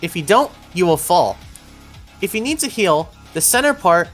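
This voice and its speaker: A woman's voice: fairly low pitch, big pitch swings, normal speed, soft background music. A woman narrating an instructional video game walkthrough in a step-by-step, directive way, as a guide for players. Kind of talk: instructional gameplay walkthrough voice-over.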